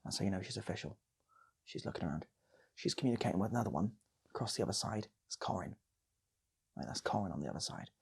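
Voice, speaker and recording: A British man spins an improvised comic tale with a very husky, gravelly voice. His pitch moves around a lot as he rambles and repeats himself for effect, sometimes slipping into whispers and little acted voices. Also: animated, quick pace, long pauses. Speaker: channel host and storyteller.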